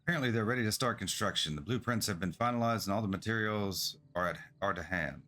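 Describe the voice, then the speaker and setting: A man reading as an eager, fast-talking travel entrepreneur. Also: lowish voice, sharp tone. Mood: hopeful, eager. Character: eager, earnest travel agency entrepreneur from a fantasy game. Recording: music behind the voice.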